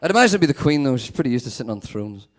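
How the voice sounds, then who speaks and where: A young man from Northern Ireland with a Northern Irish accent, performing a stand-up set with a sweary, deadpan manner. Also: medium pitch, racing. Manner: sweary, animated, deadpan asides. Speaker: stand-up comedian.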